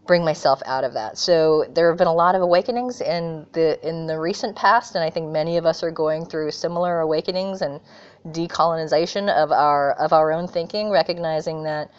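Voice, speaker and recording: A middle-aged American woman from California, answering interview questions earnestly and thoughtfully, pausing often with fillers. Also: sits low, smooth. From California, USA. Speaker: guest. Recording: dull lo-fi remote audio.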